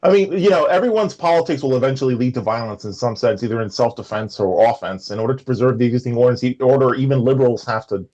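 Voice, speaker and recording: A man with a New York accent and a big booming voice, arguing on a livestream call, halting and restarting his sentences. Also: steady tone, darkish tone, lo-fi sound. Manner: halting, restarts a lot, defensive. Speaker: livestream guest. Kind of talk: casual livestream debate.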